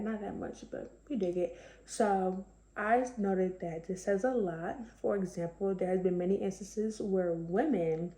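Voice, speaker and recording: A young woman with a slightly raspy voice, chatting casually to camera with lots of fillers. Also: medium pitch, mellow, reverberant, poor audio. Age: young adult. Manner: chatty, conversational, many fillers. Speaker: vlogger.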